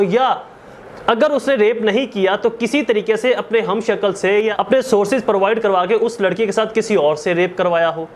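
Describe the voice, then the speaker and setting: A man with a Pakistani accent giving a didactic law lecture, repeating points, posing and answering his own questions and switching into English legal terms. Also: high voice. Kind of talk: explanatory lecture.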